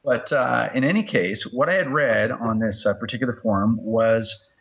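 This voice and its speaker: A man's voice: mid-range voice, moderate pace, a bit bright, dull audio. A Canadian man talking casually as a guest in a podcast interview.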